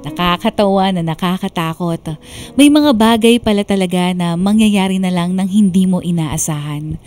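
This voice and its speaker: A woman's voice: lowish voice, normal speed, a little mellow, close to an everyday voice. A woman playing a reflective young woman narrating her own life story in a Tagalog radio drama. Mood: reflective, earnest. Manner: reflective, earnest. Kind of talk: scripted first-person radio drama narration. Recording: music bed underneath.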